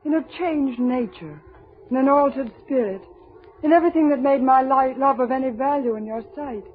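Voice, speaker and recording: An actress playing a sorrowful, resolute young woman in a 1930s radio drama, measured and earnest. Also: high-pitched, close to an everyday voice. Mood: sad, resolute. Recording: tinny, phone-like old radio recording, faint noise.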